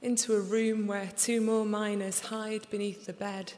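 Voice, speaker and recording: A young woman with a Sheffield accent reading her poems aloud in a slow, deliberate recital before an audience. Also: mid-range voice, level tone, a little mellow. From England. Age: young adult. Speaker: poet. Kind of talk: poetry reading. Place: large concert hall. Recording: live reading in a reverberant hall.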